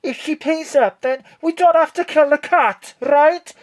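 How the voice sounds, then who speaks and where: An actress playing an anxious, pleading, soft-hearted criminal accomplice with a whiny, colloquial way of talking in an audio drama. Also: female voice, high-pitched, a little slow. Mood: pleading, panicked.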